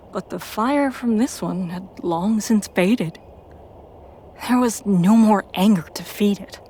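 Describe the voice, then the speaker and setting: A woman's voice: medium pitch, darkish tone, slight background noise, close to an everyday voice. A woman playing a battle-hardened, torn female soldier who narrates her own story earnestly in an audio drama. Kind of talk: dramatic first-person scripted narration.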